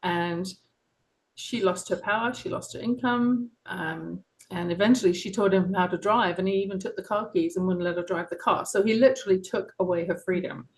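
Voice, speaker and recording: A woman's voice: mid-range voice, normal speed, poor audio. Earnest woman with a London accent. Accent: London English. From England. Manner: earnest, passionate, with soft laughs and frequent ums. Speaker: author and guest. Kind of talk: reflective personal interview.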